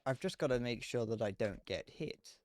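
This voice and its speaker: A man's voice: medium pitch, lively intonation, moderate pace. A young British man streaming a game, chatting casually in a slightly whiny voice, rambling and self-deprecating, swearing now and then. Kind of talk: casual live gameplay commentary.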